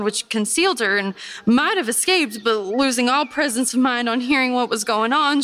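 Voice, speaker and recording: A woman playing an earnest, devout 1830s Virginia gentlewoman, giving formal, measured testimony in a historical drama. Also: fairly high pitch, lightly acted. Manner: formal, measured storytelling, firm.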